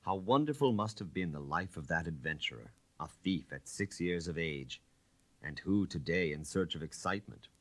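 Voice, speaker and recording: A man narrating an audiobook in a dramatic literary style, with theatrical, measured phrasing. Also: deep voice, expressive, dry recording.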